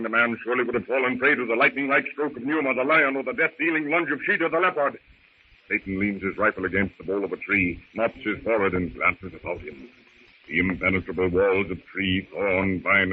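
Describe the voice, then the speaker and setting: An actor serving as dramatic narrator of an old radio adventure serial, grave and suspenseful in theatrical style. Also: male voice, mid-range voice, close to an everyday voice. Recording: tinny, hissy vintage radio recording.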